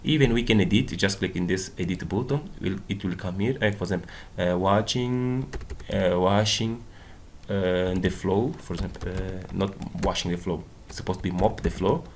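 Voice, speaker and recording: A man whose first language is Portuguese, walking through a coding tutorial in a casual way, often saying "okay" and "boom". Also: moderate pace, a little hiss or hum. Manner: non-native English with small slips. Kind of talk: screen-recorded coding walkthrough.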